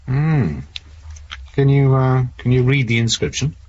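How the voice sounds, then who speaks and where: A man's voice: medium pitch, expressive, a little slow, a little mellow. A man playing a dry-witted, businesslike senior police investigator in a radio drama. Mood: dry, musing. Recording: muffled radio recording with faint noise.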